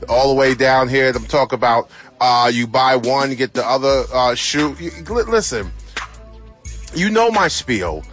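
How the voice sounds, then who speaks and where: A man's voice: mid-range voice, fairly bright tone. A man doing a loose, slangy live radio ad from a store. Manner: hype, slangy, self-mocking. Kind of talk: loose, improvised promo.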